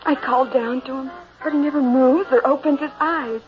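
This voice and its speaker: High-voiced anxious wife played by a woman. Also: fairly quick, over music, natural voice. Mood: anxious, pleading. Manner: earnest, melodramatic. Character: anxious, pleading worried wife. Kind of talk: 1960s radio horror acting. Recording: vintage dull radio recording.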